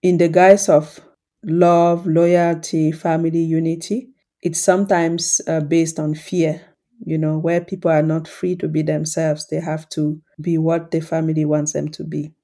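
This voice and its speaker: A woman from Cameroon, hosting her own podcast as a coach and explaining family patterns in a calm, reflective way. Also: low-pitched, measured pace. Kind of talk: solo podcast talk.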